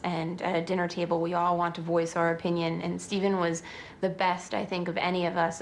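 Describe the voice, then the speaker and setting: Creaky, lowish-voiced woman in interview. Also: slight background noise. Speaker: family member giving testimony. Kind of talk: reflective documentary interview.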